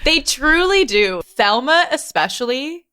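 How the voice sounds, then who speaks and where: Woman around 30, podcast cohost, bright voice that bounces around in pitch, teasing chat. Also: big pitch swings, a little slow, dry recording, clean studio sound. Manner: giggly, teasing. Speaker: podcast co-host. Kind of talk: casual comedic banter.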